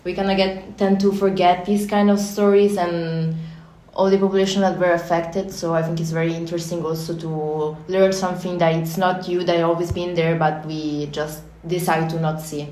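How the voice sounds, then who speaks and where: A young Italian woman with an Italian accent, talking quickly in a casual podcast chat and sometimes searching for English words. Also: on the low side, level tone, warm tone. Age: young adult. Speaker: architecture student guest. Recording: echoey room.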